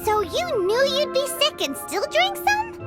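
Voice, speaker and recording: A woman voicing a tiny, cheeky, childlike female fairy companion in a video game, squeaky and blunt. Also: very animated, measured pace, full character voice. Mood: curious, teasing. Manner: blunt, speaks of self in third person. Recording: loud music behind the voice.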